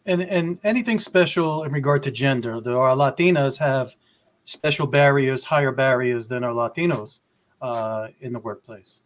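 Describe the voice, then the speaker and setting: A man in a middle pitch moderates a panel. He puts his questions to the speakers casually, sometimes tripping over the opening words. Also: normal speed.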